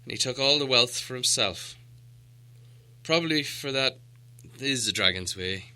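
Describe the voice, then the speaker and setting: A proud old dwarf lord acted out by a male reader tells his people's history like a storybook elder. He is solemn and grandiose, with a very bright, forceful edge to a middle-pitched voice. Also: lively intonation, unhurried. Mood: grim, mournful. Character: proud, solemn old dwarf leader recounting lost glory. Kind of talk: audiobook character dialogue.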